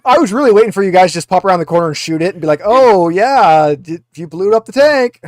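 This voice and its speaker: A man with a fairly high voice runs a tabletop roleplaying game as game master. He improvises narration in a wry, conversational way, and the recording is dry and close. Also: expressive, quick pace, no echo.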